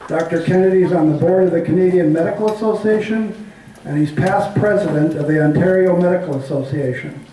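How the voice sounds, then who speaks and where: An older man introducing the guest speaker, reading from notes in a measured way. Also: high-pitched, dark tone, slight background noise. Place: community hall meeting room. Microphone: handheld mic, far camera. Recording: echoey hall from far off.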